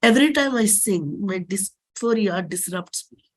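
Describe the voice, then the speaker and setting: A woman with an Indian accent giving a dramatic reading of a play script in a deliberate, declamatory manner. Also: mid-range voice, animated. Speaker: performer reading own play.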